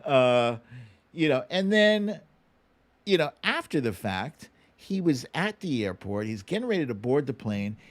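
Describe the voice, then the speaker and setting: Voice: mid-range voice, sing-song, a little slow. A show host giving casual, conversational political commentary, swearing freely and acting out what others said. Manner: acts out quotes animatedly.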